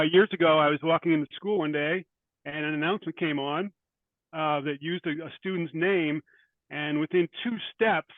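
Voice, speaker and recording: A man's voice: on the high side, dry recording. Older man on a tinny phone line. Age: 50s or older. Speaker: author being interviewed. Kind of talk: conversational interview answers.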